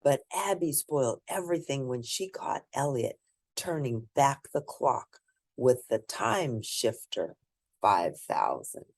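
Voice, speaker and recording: A woman reading a children's picture book aloud in an expressive, emphatic way, with asides to young listeners. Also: rumbling low, slow pace. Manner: animated, emphatic storytelling. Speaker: children's book reader and host.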